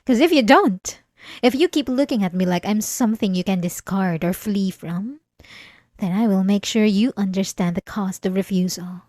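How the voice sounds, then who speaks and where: A woman playing an obsessive, possessive, centuries-old vampire in an ASMR roleplay, speaking intimately and deliberately with dramatic pauses. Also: medium pitch, darkish tone, no room sound, clean studio sound, close to an everyday voice. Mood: possessive, threatening.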